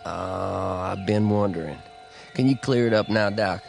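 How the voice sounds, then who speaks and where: Gravelly, slow country man. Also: low-pitched, faint music behind. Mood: puzzled. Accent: rural American. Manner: folksy, musing. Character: easygoing, curious small-town country man. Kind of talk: radio drama acting.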